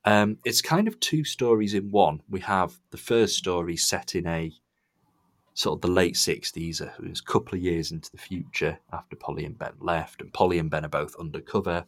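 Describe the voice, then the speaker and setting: A man's voice: sits low, normal speed, no room sound, studio quality. A man hosting a podcast, chatting casually and enthusiastically as he gives his reviews. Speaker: podcast host.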